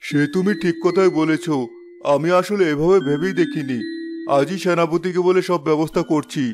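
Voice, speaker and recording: Measured, worried fairy-tale king acted by a man. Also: a little high, steady tone, a little mellow, faint music behind, close to an everyday voice. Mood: concerned. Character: anxious, protective king and father, middle-aged. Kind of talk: animated fairy-tale dialogue.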